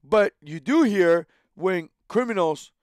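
A man's voice: sits high, expressive, slow pace, dry recording, clean studio sound. A man in his thirties, born in Cuba, hosting his own channel and speaking into a headset mic with indignant, emphatic commentary full of repeated phrases and restarts. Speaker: channel host. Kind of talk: opinion commentary to camera. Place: small home studio room.

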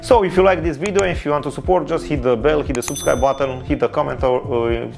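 A man's voice: on the high side, fast, vocal fry, over music. A man in his thirties from Romania, with a Romanian accent and Romanian as his first language, reviewing a tech product in careful English with fillers and repeats. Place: indoor studio with neon lights and shelves.

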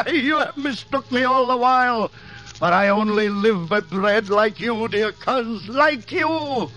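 A man's voice: extremely high pitch, lively intonation, slow pace, bright, forceful tone, heavily acted, low-quality recording. An actor playing a deranged, tired old man who declaims like a fallen king in theatrical radio-drama style. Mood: wistful, broken. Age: older. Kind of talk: 1950s radio drama acting, declaiming Shakespeare. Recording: vintage radio recording, background music.

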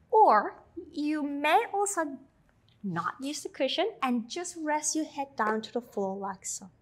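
A woman in her thirties with an Australian-tinged accent, guiding a Pilates class with slow, soothing cues and chatty asides. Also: high voice, a bit bright. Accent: Australian-tinged English. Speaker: Pilates instructor. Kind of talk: guided exercise instruction.

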